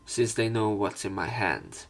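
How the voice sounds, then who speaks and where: A male let's play narrator with a fairly low voice. He reads the text of a visual novel aloud, line by line, as a story. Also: very animated, measured pace, vocal fry, faint music behind, poor audio.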